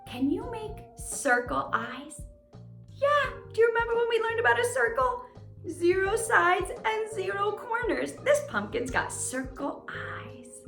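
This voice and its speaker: A woman's voice: high-pitched, fairly bright tone. A woman hosting a children's lesson and read-aloud, speaking in an exaggerated child-directed way and putting questions to her listeners. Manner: exaggerated child-directed delivery, asking questions and pausing for answers. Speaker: children's teacher and host. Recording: roomy echo with plucked guitar music. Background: plucked guitar music.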